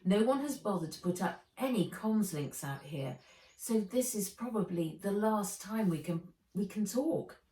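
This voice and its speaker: A woman voicing a frank, prickly young girl, the heroine of a children's storybook, with a sharp manner. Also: mid-range voice, lively intonation, a little slow, echoey room, distant mic, natural voice, low-quality recording. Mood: wistful, matter-of-fact. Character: plain-spoken, prickly young heiress girl.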